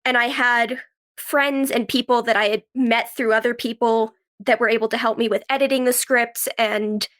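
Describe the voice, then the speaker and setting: A woman in her twenties chatting casually as an interview guest, telling stories in an animated way with quoted asides. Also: on the high side, normal speed. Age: 20s. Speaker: podcast guest, actress and writer.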